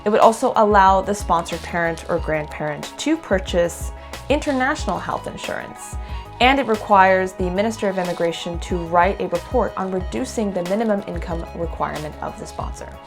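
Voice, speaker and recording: A woman from Canada, hosting an immigration talk show, reading news items and then chatting with a guest in a clear, steady delivery. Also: medium pitch, fairly quick, soft background music. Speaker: host. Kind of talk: news segment then casual chat.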